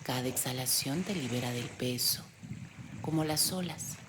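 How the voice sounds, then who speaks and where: A woman leading a guided meditation in a gentle, soothing delivery with pauses. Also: very deep voice, noisy background. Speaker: meditation guide, yoga teacher. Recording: steady loud sea-wave background sound. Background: sound of ocean waves.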